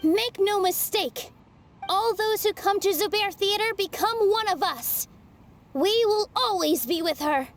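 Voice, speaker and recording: Young female game dancer, high voice, soft, sincere, earnest. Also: a little slow, slight background noise. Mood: cheerful. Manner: soft, sincere and warm-hearted. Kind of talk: video game voice acting.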